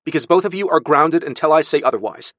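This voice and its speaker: A stern, emotional young woman, voiced by a male audiobook narrator, scolds with a sharp, firm edge. The pitch is lifted and the sound is close and dry. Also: lively intonation, fast, dry recording. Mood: sharp anger. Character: distraught, stern young aunt scolding a little boy.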